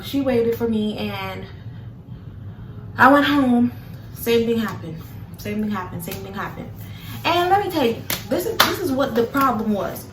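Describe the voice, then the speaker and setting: A young woman, chatting casually to her viewers and filling her sentences with "like" and "literally." Her voice sounds mid-range, and it echoes in a room. She sits some distance from the mic, and a steady background noise runs underneath. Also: medium pitch. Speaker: vlogger. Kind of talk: casual storytelling to camera.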